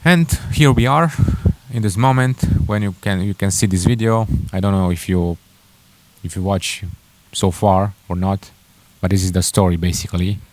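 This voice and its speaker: A young man with a Romanian accent telling his own story to camera, halting and correcting himself with many fillers. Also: deep voice, a little hiss or hum. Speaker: entrepreneur vlogger. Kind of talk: casual personal story to camera.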